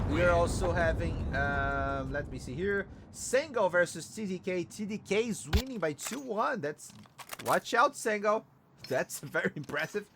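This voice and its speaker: A man from Brazil with a Brazilian Portuguese accent, a native Portuguese speaker casting an esports match alone in English, excitable on plays and chatty in between. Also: high-pitched, slight background noise. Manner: excitable, quick bursts on plays, chatty asides and laughs. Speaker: esports caster. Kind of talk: solo game commentary and chat reading.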